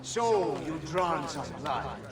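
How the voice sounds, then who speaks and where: A man's voice: fairly high pitch, expressive, takes it slowly, distant mic, crowd noise. An actor playing an arrogant, taunting villainous nobleman in a video game, with an Italian accent and a haughty, declamatory delivery. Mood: haughty contempt.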